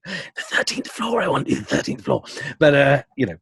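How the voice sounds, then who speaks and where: A man's voice: mid-range voice, wildly swooping pitch, a little fast, gravelly, a bit bright, husky, no room sound, studio quality. A man talking enthusiastically in an interview as an audio producer, wordy and often rephrasing as he goes. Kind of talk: conversational interview about creative work.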